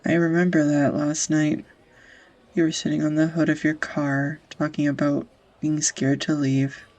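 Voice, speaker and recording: A young woman acting a tender, easily flustered sweetheart in an intimate romantic audio roleplay, with a husky, breathy, hesitant voice. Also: sits low, level tone, unhurried, darkish tone. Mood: tender, wistful. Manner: hesitant, confessional, breaking into whispers.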